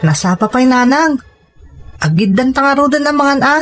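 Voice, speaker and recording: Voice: sits high, animated, normal speed, fairly bright tone, faint music behind. Polite, eager little schoolboy played by an actor. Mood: cheerful, polite. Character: obedient, cheerful young schoolboy son. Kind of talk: audio drama acting.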